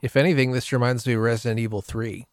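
A man's voice: medium pitch, fairly even intonation, quick pace, dry recording, clean studio sound. A man in his forties talking casually as a panelist on a gaming podcast. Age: 40s.

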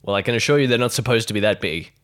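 A man's voice: fairly low pitch, racing, a bit bright. A man playing in a tabletop roleplay with casual in-character banter. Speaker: player in a tabletop roleplay show.

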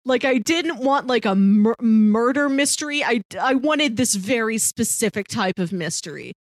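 A woman's voice: a little high, lively intonation, normal speed, dry recording. A woman co-hosting a casual movie chat, talking like an enthusiastic fan with wry, playful asides. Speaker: podcast co-host.

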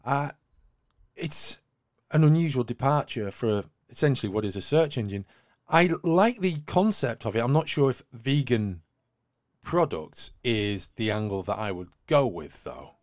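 A man's voice: mid-range voice, lively intonation, a little slow, no room sound, clean studio sound. A man hosting a tech news podcast, presenting items in a semi-scripted way with wry, flippant asides.

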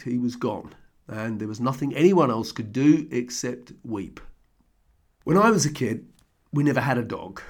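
Man, slightly croaky, mid-pitched, earnest, conversational podcast host. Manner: earnest, conversational, heartfelt storytelling. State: slightly croaky voice from throat infection. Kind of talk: reflective personal monologue. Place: home desk.

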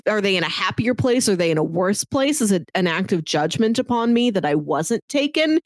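A woman with a medium-pitched voice in a dry, close recording. She talks as a podcast co-host in casual review chat, laying out her opinions analytically and at some length, in articulate, conversational sentences. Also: dry recording.

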